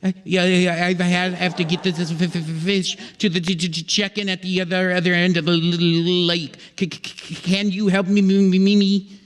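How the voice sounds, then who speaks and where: A man's voice: high-pitched, little pitch movement, moderate pace, natural voice. A man playing a desperate, freezing fisherman who stammers and shivers in an old-time radio sketch, on a reverberant theatre stage. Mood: shivering, desperate. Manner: chattering stutter. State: shivering with cold.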